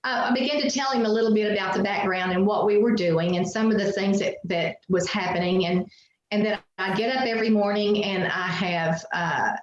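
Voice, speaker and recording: A woman's voice: medium pitch, brisk, highs cut off. Twangy Appalachian woman in an echoey room. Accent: Southwest Virginia Appalachian twang. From Southwest Virginia. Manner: folksy, chatty, laughs easily, many fillers. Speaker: guest. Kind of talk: casual storytelling interview. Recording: very echoey room with faint noise.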